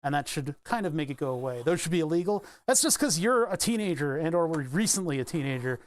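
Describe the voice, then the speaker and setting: A man's voice: fairly high pitch, animated, fast talker, no room sound. A man chatting casually on a live stream as he builds electronics, rambling, answering chat and laughing often.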